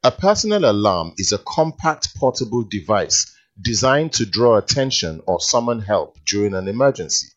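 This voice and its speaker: A man's voice: on the low side, sing-song, normal speed, few pauses. A man co-hosting a casual radio show, playful and teasing. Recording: dull lo-fi broadcast sound.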